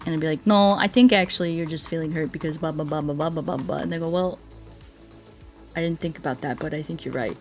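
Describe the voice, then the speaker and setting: An American woman chatting casually as she streams a game, telling things in an animated way and repeating herself for emphasis, with music behind her. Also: low-pitched, fast. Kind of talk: casual chat while playing a game, reading viewer comments.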